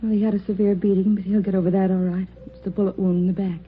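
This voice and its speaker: An actress playing a brisk hospital nurse in a 1950s radio drama, clinical and direct in her delivery. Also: medium pitch, level tone, phone-quality sound, lightly acted. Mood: grave, factual. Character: brisk, matter-of-fact female hospital nurse. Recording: thin, noisy old radio recording.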